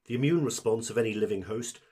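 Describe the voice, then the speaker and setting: A man's voice: on the low side, fast, mellow, husky. A man playing an obsequious fungus creature who keeps shop through a host body, speaking in formal, over-polite patter in an audio drama. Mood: politely explaining.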